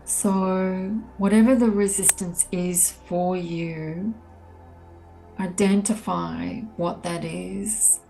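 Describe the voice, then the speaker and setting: A woman leading a guided meditation, speaking gently and in a measured way with long pauses between soothing instructions. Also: fairly low pitch, vocal fry, low-quality recording. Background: soft ambient meditation music.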